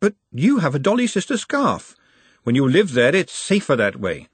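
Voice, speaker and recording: Man doing a sour, sardonic woman, high-pitched. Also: wildly swooping pitch, moderate pace, breathy edge, no echo, full character voice. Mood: wry. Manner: dry, bitter, ironic. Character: sour, sardonic working-class woman, blunt but kindly underneath.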